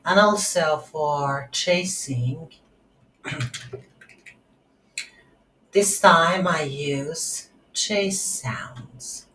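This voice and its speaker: A woman giving an unscripted screen-recorded coding tutorial, thinking aloud in halting short phrases. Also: deep voice, fairly bright tone. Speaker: tutorial instructor. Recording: roomy echo, audible background noise.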